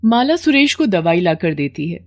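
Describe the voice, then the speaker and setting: A woman's voice: on the low side, sing-song, normal speed. A woman narrating a scripted moral story as a storyteller.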